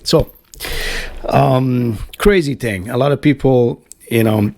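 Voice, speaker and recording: A man's voice: medium pitch, slow pace, gravelly, darkish tone, no room sound. A middle-aged man from Canada chatting casually to camera at home, rambling with lots of fillers and restarts and the odd swear. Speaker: band vocalist hosting a web show. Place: home, Florida.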